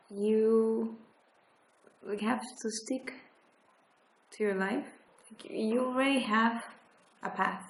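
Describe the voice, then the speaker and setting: A woman's voice: a little high, vocal fry, a little mellow. A woman interviewing a guest, asking open questions casually and often saying "like". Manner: casual, searching, leans on "like". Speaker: interviewer, documentary maker. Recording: echoey room.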